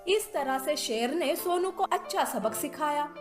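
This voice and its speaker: A woman reading scripted narration for a children's animated moral story. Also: high-pitched, unhurried. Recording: echoey voice over music.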